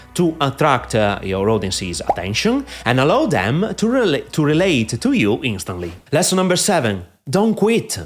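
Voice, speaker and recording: Italian-accented guy giving youtube marketing tips, lots of uh's, voice bouncing up and down, soft music behind. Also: a little high. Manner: frequent "uh" fillers, emphatic lifts on key phrases. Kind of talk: direct-to-camera advice talk. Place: in front of a green screen. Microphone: podcaster microphone.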